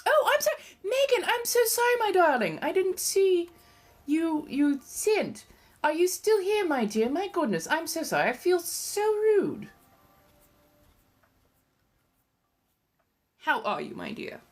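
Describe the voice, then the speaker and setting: A woman's voice: medium pitch, big pitch swings, normal speed. A woman voicing an ancient, gracious female vampire with an affected old colonial English accent, chatting effusively and calling people darling. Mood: apologetic, effusive. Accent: affected English, 1700s colonial. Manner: effusive, genteel, calls people darling and dear. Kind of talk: livestream chat in persona.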